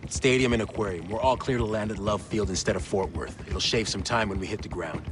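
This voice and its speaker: An actor playing a confident intelligence operative in a video game, firm and matter-of-fact. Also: male voice, on the low side, brisk, noisy background, natural voice. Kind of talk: cinematic video game acting.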